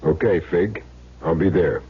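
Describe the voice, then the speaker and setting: A man's voice: sits low, measured pace, breathy edge, exaggerated voice. An actor playing a cool, terse, matter-of-fact hitman in a 1970s radio drama. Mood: businesslike. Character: Jim Derry, cool laconic hitman back in town. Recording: dull lo-fi old radio recording.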